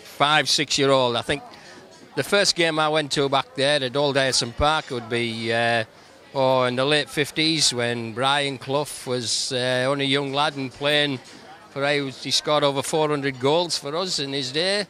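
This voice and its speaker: A good-humoured man in his fifties with a Middlesbrough accent, chatting easily as a guest. His voice is bright and forward, with a relaxed, reminiscing flow. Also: medium pitch, a little slow. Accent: Middlesbrough English. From England. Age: 50s. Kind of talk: casual interview chat. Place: racecourse pavilion.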